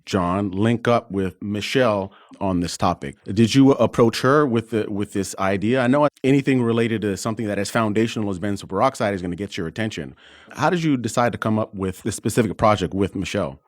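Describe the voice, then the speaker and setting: A man's voice: fairly low pitch, a little fast, no room sound, studio quality. A man hosting a medical podcast, introducing and questioning guests in an interview style. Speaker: podcast host.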